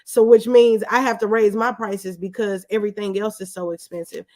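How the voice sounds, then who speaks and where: Woman, interview guest, mid-range pitch, casual conversational storytelling. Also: a little fast, dark tone. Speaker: interview guest, small business owner.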